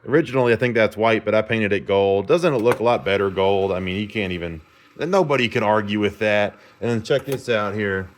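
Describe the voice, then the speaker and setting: Low-voiced man chatting over background noise. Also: brisk. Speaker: vlogger showing a repaired vintage car radio. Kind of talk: casual demonstration to camera. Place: repair shop, indoors.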